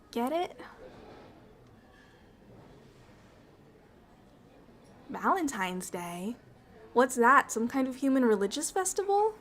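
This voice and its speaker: A high, unhurried voice from a woman acting as a bubbly alien ambassador in an intimate ASMR roleplay. The alien is naive and affectionate, speaking earnestly and expressively, with slightly formal wording. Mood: curious, gentle.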